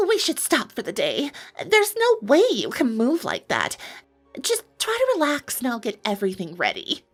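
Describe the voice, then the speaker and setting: Story character: woman performing a caring, fretful young pony mare, high and very swoopy, music underneath. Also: wildly swooping pitch, moderate pace, heavily acted. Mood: concerned. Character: anxious, caring young female pony showmare. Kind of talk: fan fiction character reading.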